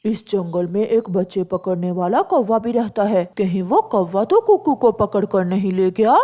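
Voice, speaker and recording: A woman's voice: mid-range voice, expressive, moderate pace, warm tone, pitched below the performer's own voice, close to an everyday voice. A woman voicing a plain-spoken male pigeon uncle, a shopkeeper in a children's cartoon. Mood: concerned. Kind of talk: Hindi children's cartoon story.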